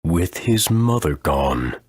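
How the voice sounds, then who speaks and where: A man playing an aged, solemn creature elder in a video game, speaking slowly and formally with a husky rumble. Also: big pitch swings, no room sound, full character voice. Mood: solemn, grateful. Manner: slow, grave, formal.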